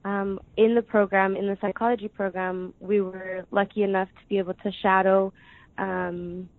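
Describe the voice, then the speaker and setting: A woman's voice: mid-range voice, flat delivery, moderate pace, dry recording. Hesitant young woman on a tinny line. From United States. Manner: hesitant, many ums, soft laughs. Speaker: guest. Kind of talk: casual interview answers. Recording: dry tinny phone-like line.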